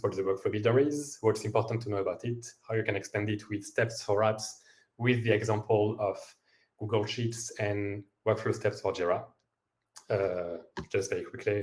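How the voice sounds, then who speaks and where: A man giving a software demo over a video call, with a hesitant manner and frequent fillers. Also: fairly low pitch. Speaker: guest speaker.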